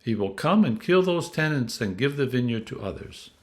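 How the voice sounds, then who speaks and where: A man reading scripture as a clergyman, with a measured, dramatic storytelling delivery. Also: mid-range voice, sing-song.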